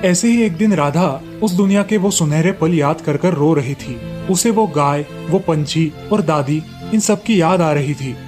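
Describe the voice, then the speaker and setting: Storybook narrator with a mid-pitched voice. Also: a little slow, hardly any pauses, darkish tone, faint music behind. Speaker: narrator of moral stories.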